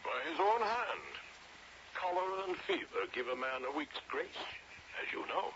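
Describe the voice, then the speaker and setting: Bitter, high-strung colonial Englishman, muffled tape. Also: big pitch swings, normal speed, vocal fry, a bit bright, full character voice. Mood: guarded, bitter. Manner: clipped, cynical, brooding. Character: sleepless, bitter, sardonic British colonial engineer in India. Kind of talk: old-time radio drama acting. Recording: muffled, noisy old radio drama tape.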